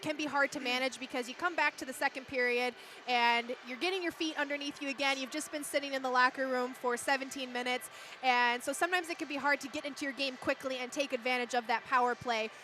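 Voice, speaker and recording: A woman's voice: high-pitched, fairly even intonation, fast talker, sharp tone. A woman with a Minnesotan accent giving casual hockey analysis, explaining plays and laughing easily. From Twin Cities, Minnesota, USA. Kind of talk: casual live-stream analysis.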